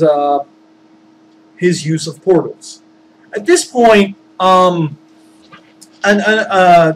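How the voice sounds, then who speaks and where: A man casually recounting his tabletop games as game master, rambling and informal, animated when he acts out what people said. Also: fairly high pitch. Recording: noisy background.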